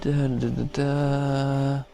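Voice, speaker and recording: A man's voice: medium pitch, flat delivery, drawn-out words, vocal fry, warm tone. A man giving informal, unscripted let's play commentary, thinking aloud as he goes. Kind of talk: casual unscripted game commentary. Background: game music.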